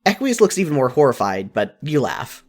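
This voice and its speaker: A narrator reading a second-person story aloud in an expressive style, as in a fan fiction audiobook. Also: mid-range voice, moderate pace.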